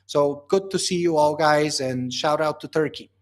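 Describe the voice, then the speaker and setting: Male founder, slightly high voice, relaxed livestream Q&A host, filler-heavy answers. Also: moderate pace. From Bulgaria. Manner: casual, reads questions aloud then answers with many fillers. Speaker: company founder hosting a livestream Q&A. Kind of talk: reading out and answering viewer questions.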